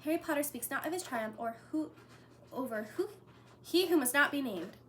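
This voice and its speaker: A woman voicing a small, servile, tearful male house elf with a high-pitched voice who speaks of himself in the third person. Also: expressive, moderate pace, fairly bright tone, a little hiss or hum. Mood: reverent.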